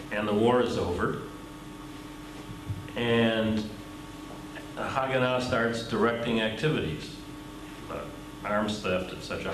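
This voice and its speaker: A man's voice: fairly low pitch, roomy sound, background noise. A man conducting an oral history interview, summarizing and prompting with questions.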